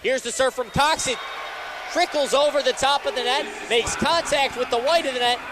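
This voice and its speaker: A man's voice: very high voice, moderate pace, background noise. A young man calling live volleyball play-by-play as a radio announcer.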